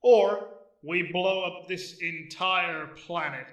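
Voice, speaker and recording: Man playing a high, blustering space cop. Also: takes it slowly, vocal fry, a bit bright, full character voice. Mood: threatening. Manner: hectoring, self-justifying. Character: blustering trigger-happy space cop who claims sensitivity. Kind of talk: amateur sci-fi comedy audio drama. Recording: roomy echo, distant from mic.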